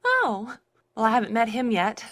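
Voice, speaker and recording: A bubbly secret-agent secretary. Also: female voice, fairly high pitch, huge pitch swings, normal speed, fairly bright tone. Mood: cheerful. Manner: cheerful, flirtatious. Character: female secretary secretly a Soviet spy.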